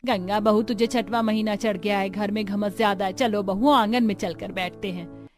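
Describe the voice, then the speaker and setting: A woman's voice: medium pitch, fairly even intonation, moderate pace, music in the background, natural voice. Woman voicing a caring, sorrowful village mother-in-law. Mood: concerned. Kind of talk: Hindi cartoon story dialogue.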